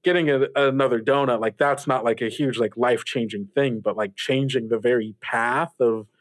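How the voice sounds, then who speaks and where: Man acting a polite resigned host, mid-pitched, hesitant, measured, wary, improvised roleplay podcast, close dry recording. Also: fairly even intonation, dry recording, natural voice. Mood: cautious, uneasy. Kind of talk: improvised actual-play roleplay dialogue.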